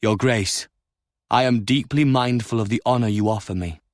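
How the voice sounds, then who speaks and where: Man doing diffident lord, quiet, careful, middle pitch, dry close sound. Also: normal speed, no room sound, close to an everyday voice.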